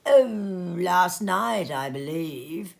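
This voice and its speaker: A woman's voice: fairly low pitch, lively intonation, drawn-out words, creaky, heavily acted. A woman voicing a great fat businessman in a storybook reading, offhand, jocular and callous, with a bored drawl. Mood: bored, indifferent.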